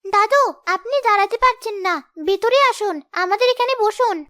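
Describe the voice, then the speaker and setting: A woman's voice: extremely high pitch, normal speed, sharp tone, no room sound, clean studio sound. A woman voicing a kind, obedient poor young girl in a cartoon, earnest and polite. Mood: caring. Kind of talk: cartoon dialogue.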